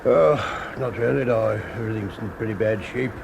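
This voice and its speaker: A man's voice: mid-range voice, a little fast, gravelly, darkish tone, lo-fi sound, natural voice. An actor with a British accent playing an irritable, put-upon businessman in a radio drama, curt and grumbling. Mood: irritated, defensive. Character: irritable, put-upon male office businessman under questioning. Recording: noisy classic radio drama recording.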